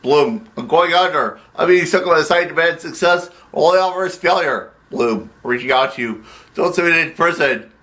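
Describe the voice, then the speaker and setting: A man's voice: a little high, moderate pace, sharp tone. A man playing a brash, bullying theatrical producer in a comedy, blustering and commanding in a theatrical manner. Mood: pleading.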